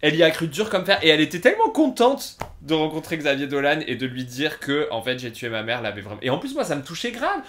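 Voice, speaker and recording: A man's voice: on the high side, big pitch swings, fast talker, hardly any pauses, fairly bright tone. A man in his thirties co-hosting a podcast in a casual chat, telling anecdotes and dropping English words into his French. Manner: chatty storyteller who drops English words into his French. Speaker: podcast co-host.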